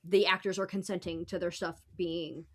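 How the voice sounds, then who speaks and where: A woman's voice: fairly low pitch, little pitch movement, normal speed, a bit bright. A woman on a podcast panel discussing politics in an informal, opinionated way.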